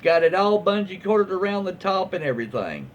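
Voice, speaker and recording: A man's voice: sits high, very animated, brisk. A man with a Southern American accent casually reading online stories aloud, folksy and informal, stumbling over words and adding off-the-cuff commentary. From southern United States. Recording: muffled lo-fi audio, faint background noise.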